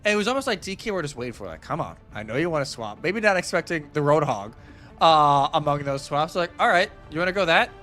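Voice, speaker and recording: A man with a bright, fairly high voice commentates a live esports match. He breaks down the game conversationally, in a casual and halting flow. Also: expressive, faint music behind.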